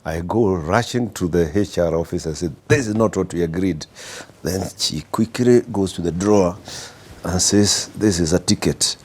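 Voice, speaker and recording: A middle-aged Kenyan man with a low, husky voice recounts his career abroad as an interview guest. He talks in a mellow, unhurried way, pausing often on "uh" and restarting his sentences. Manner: unhurried and anecdotal, full of "uh" fillers and restarts. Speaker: guest executive. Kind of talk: storytelling in a podcast interview.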